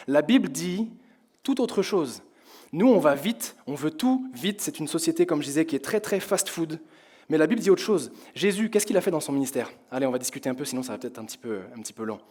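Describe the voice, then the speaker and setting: A young man from France preaching to his congregation in a church hall, his voice hoarse, talking in a conversational way and asking the congregation questions. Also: on the high side, darkish tone. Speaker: pastor. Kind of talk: sermon. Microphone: headset with in-ear monitor.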